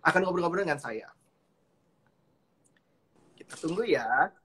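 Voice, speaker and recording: A man hosts a live chat about fashion, his voice sitting a little high for a man. He talks in an upbeat, rambling way, drawing out words and leaning on fillers. He sounds like he is on a video call. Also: animated, bright, forceful tone. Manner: chatty, upbeat, drawn-out phrasing with fillers. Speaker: host, fashion and beauty editor. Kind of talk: casual live-stream chat.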